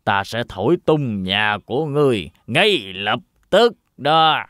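A man's voice: mid-range voice, sing-song, slow pace, a bit bright. A man voicing a sly, menacing big bad wolf in a children's fairy tale cartoon, with a threatening drawl.

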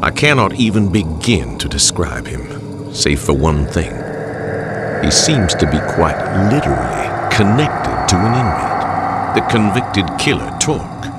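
An actor playing a grave, literate man reading an ominous horror game journal in measured phrases. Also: low voice, big pitch swings, heavy background noise, full character voice. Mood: grave, awed. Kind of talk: scripted journal narration.

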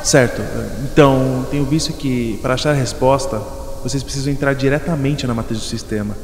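A captive male fighter played by an actor speaks gently and affectionately in an audio drama. His mid-range voice is soothing, in long reverb with light music behind. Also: mid-range voice, steady tone, brisk, natural voice. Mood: tender, resolute. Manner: soothing, familiar.

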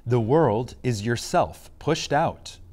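A man giving a reverent, scripted reading of a spiritual lecture, solemn and emphatic, with deliberate pauses. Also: medium pitch, expressive, darkish tone.

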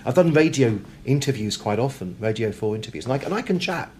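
A man's voice: mid-range voice, animated, quick pace, a little mellow. A British man chatting as an interview guest, talkative and self-deprecating, with hesitant, repeated words. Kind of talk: casual interview conversation. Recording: clear background noise, room ambience.

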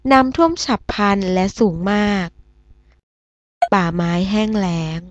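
A woman's voice: mid-range voice, expressive, a little slow, no room sound, dull audio, close to an everyday voice. A woman playing a formal, composed television news anchor in a cartoon, reading a scripted explanatory report.

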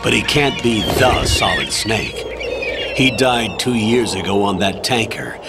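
An actor playing a stern middle-aged military colonel in a video game, briefing with formal authority. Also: male voice, fairly low pitch, measured pace, creaky. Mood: briefing, grave. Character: stern older male military colonel, controlled commander. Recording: loud music behind the voice.

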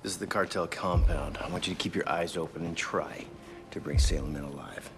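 An actor playing a steady, dutiful male special operative in a video game, serious and firm. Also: low voice, fairly quick, noisy background, lightly acted. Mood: calm, firm. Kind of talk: dramatic video game acting. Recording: music laid under the dialogue.

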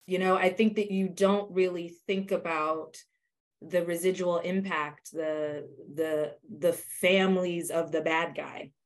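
A woman's voice: on the low side, fairly even intonation, a little slow. A woman speaking as an actor on a video call panel, reflective and searching for her words in a casual discussion. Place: indoor room on a video call. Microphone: video call computer microphone.

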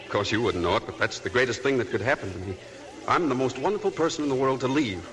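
A man's voice: mid-range voice, quick pace, a bit bright, close to an everyday voice. Man playing a dreamy, rambling young man. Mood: eager. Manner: eager, rambling, intense. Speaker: actor in a radio play. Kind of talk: 1940s radio acting.

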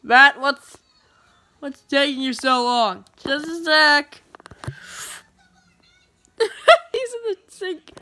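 A woman reads a comic aloud, giving every panel its own goofy character voice. Her voice is bright and a touch high, and she takes her time over the lines. She sounds like she's holding a phone up to a tablet in a dim room. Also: lively intonation, drawn-out words, pauses often. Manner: playful, switches voices for each character. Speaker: comic dubber.

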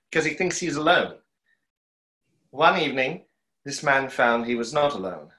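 Casting: wistful, self-doubting conjurer, played by a man, mid-pitched and animated, hesitant stage-play delivery, roomy sound. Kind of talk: stage play read aloud over video call. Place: small home room, on a video call. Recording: roomy echo of a video call.

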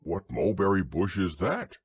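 A man's voice: sits low, big pitch swings, a little slow, breathy edge, dry recording, heavily acted. A man voicing a kindly, simple-minded teddy bear in a storybook reading, with a lighter, innocent, puzzled voice. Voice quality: lighter, raised voice.

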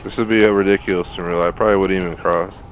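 A man's voice: low voice, fairly even intonation, very fast, noisy background. A man streaming a game with casual, laid-back commentary and plenty of swearing. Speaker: gaming channel streamer. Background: video game music and sound effects.

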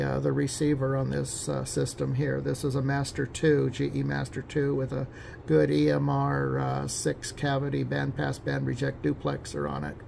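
A man giving an informal, matter-of-fact technical demonstration as a technician, speaking somewhat haltingly. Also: mid-range voice, vocal fry, darkish tone, a little hiss or hum.

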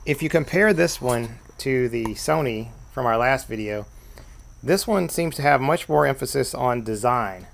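An easygoing man with a gravelly edge to his voice talks through an old machine in a relaxed home vlog. His pitch sits in an ordinary middle range, and he rambles with plenty of fillers. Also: measured pace. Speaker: vintage tape deck repair vlogger. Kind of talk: casual show-and-tell narration. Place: sunny room at home, at a desk.

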